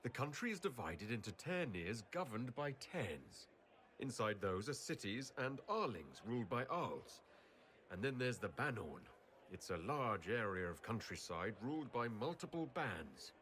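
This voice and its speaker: A man's voice: mid-range voice, very animated, unhurried, a bit bright, slight background noise. A man playing a kindly, dryly humorous hero leader in a game cutscene, speaking politely. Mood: awkward. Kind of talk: video game cutscene acting.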